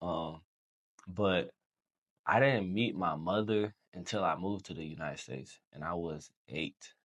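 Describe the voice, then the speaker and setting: A young man from Haiti, a Creole speaker, telling his story as a podcast guest in relaxed slangy chat. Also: low-pitched, a little slow. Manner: relaxed storytelling, slangy, says bro often. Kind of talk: casual chat.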